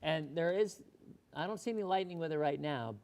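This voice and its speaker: Hoarse, chatty male weather host. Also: a little high, brisk, a little mellow. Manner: chatty, often repeats words while thinking. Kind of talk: live-stream chat answering viewer questions.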